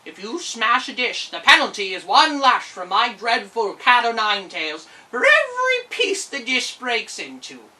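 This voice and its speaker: A woman's voice: fairly high pitch, very animated, unhurried, few pauses, bright, forceful tone, far from the mic, exaggerated voice, poor audio. A woman voicing a stern, threatening male giant who gives bossy orders in a storybook.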